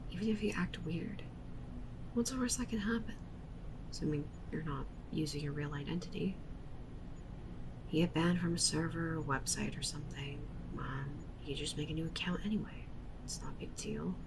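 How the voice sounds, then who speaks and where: Woman acting a half-whispering, creaky, obsessive yandere streamer. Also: lowish voice, background noise. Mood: confiding, wistful. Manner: breathy half-whispered delivery, intimate and intense. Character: obsessive yandere witch streamer woman, clingy, intense, unhinged. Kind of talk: roleplay ASMR, intimate monologue to the listener.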